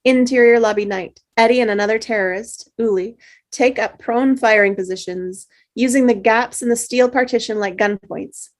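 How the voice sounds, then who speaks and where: A woman reads a film script's scene directions aloud at a table read. Her delivery is steady and plain, with the odd stumble and restart. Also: on the high side, moderate pace. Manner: steady reading with small slips and restarts. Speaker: narrator reading stage directions.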